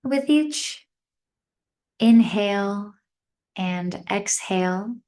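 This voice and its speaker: Slow-paced woman guiding a meditation. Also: medium pitch, lively intonation. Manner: gentle, measured, with long pauses between short phrases. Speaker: meditation instructor. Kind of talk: guided meditation, live class instruction.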